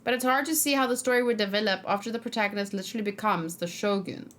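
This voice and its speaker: A woman doing casual let's play commentary, chatty and expressive, thinking out loud and reading game text aloud. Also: medium pitch, quick pace.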